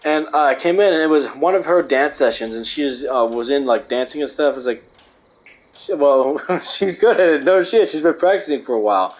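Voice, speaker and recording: A man's voice: a little high, a little fast. A young man reading a book aloud and breaking off into rambling, profane rants. He says he is losing his voice. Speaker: host.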